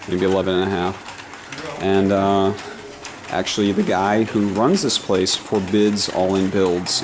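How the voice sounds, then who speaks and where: A man narrating his own vlog in a relaxed, matter-of-fact way, explaining what is going on. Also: sits low, noisy background.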